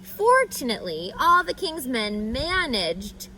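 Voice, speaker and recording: Gentle, anxious egg narrator for a picture book female reader, fairly high voice, very expressive, slight background noise. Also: full character voice, low-quality recording. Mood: wistful, matter-of-fact. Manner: expressive, deliberate storyteller delivery. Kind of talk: picture book read-aloud.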